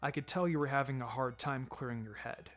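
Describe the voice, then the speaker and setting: A man acts out a laid-back ninja mentor from an audiobook story. His voice sits low and soft, measured and wry, with a gently sombre, consoling edge. Music plays clearly behind him. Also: natural voice. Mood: gentle advice. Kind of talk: fan fiction audiobook character acting.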